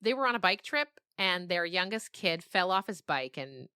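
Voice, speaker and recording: A woman's voice: fairly low pitch, sing-song, normal speed, sharp tone, smooth, no room sound, clean studio sound. A woman speaking as an interview guest, a storyteller and podcast creator talking reflectively and expressively in conversation.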